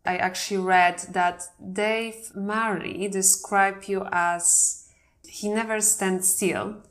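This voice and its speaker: A woman's voice: medium pitch, fairly even intonation, slow, a bit bright. A woman hosting an art podcast, reading a scripted introduction and then asking questions with some hesitation. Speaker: podcast host. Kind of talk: podcast interview.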